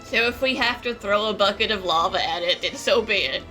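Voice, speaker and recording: A woman's voice: mid-range voice, brisk, sharp tone, soft background music, lightly acted. A woman voicing a brash, laid-back and playful young female adventurer in a video game, with a casual, teasing manner. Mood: cocky.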